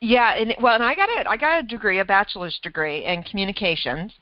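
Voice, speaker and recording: A middle-aged woman chatting over a video call. She tells her story in an animated way, laughing often and acting out what she thought. The sound is dry and a little dull. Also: medium pitch, quick pace, no echo. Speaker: weight loss coach and host. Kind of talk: casual conversation telling a story.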